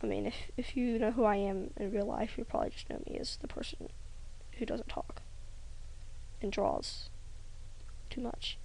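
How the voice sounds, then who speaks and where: Shy young woman with a gravelly voice. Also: medium pitch, steady tone, normal speed, long pauses, rough recording. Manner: rambling, hesitant, self-conscious, many ums; soft, trails off into near-whispers. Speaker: hobby animator. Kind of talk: casual voice-over drawing tutorial.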